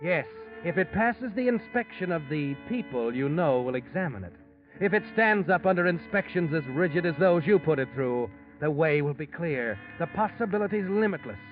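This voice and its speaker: Tinny vintage radio sound, music behind, male actor as mysterious storyteller, insinuating, ominous, slightly high voice. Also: lively intonation, normal speed, close to an everyday voice. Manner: insinuating, second-person taunting. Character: mysterious haunting storyteller addressing the schemer, knowing and ominous. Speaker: narrator of a mystery anthology. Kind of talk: 1940s radio drama narration.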